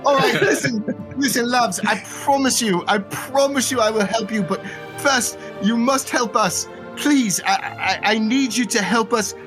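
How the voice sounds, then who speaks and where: A man's voice: extremely high pitch, a little slow, over music, voice pushed up. A man voicing a flustered, chatty woman who runs a theater and is married to a pirate, speaking folksy British-style and hesitating a lot. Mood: pleading. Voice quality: folksy British-style speech, says "me husband" and "loves". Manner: hesitant, full of fillers.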